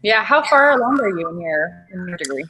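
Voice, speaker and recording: A woman's voice: on the low side, sing-song, fairly quick, sharp tone. A woman raised in Kenya, now an MBA student, chatting in a friendly, easygoing way over a video call. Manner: friendly, laughs easily, chatty. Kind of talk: casual video-call chat. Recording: video call with clear background noise.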